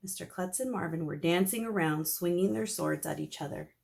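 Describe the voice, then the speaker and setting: A woman teacher reading a children's chapter book aloud in an expressive, animated storytelling manner. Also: lowish voice, a little fast, gravelly.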